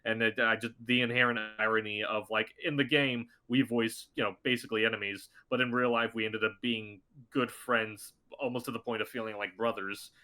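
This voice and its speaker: A man chatting as a podcast guest, his voice bright and pushed forward. He tells stories eagerly and leaps up in pitch when he acts out what people said. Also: fairly quick, few pauses, rough recording. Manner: chatty, excitable, acts out quotes in a higher voice. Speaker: voice actor guest. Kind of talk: casual podcast chat.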